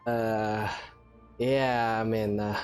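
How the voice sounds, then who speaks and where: A man's voice: fairly low pitch, flat delivery, extremely slow, smooth. A man giving casual, hesitant game commentary, musing aloud as he plays and reads dialogue choices. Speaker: gaming video host. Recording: game music behind the voice.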